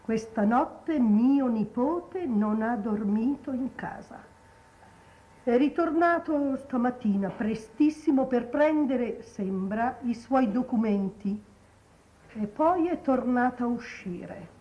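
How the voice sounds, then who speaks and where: A cold old marchioness who commands with haughty restraint. Her voice sits fairly high and moves slowly and deliberately. The sound is the dull, narrow soundtrack of 1950s television drama. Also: lively intonation, dark tone. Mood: grave, measured. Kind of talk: 1950s Italian television period drama acting.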